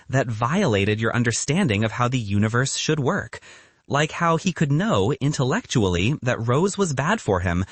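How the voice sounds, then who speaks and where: A man's voice: mid-range voice, dry recording. A man narrating scripted fiction as an audiobook reader.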